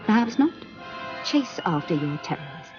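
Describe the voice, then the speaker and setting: A woman's voice: lowish voice, sing-song, normal speed, dull audio, music in the background. A woman playing a capricious Sith lord in a video game, haughty and theatrical, with mock sweetness over menace. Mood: imperious, scheming. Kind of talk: scripted video game acting.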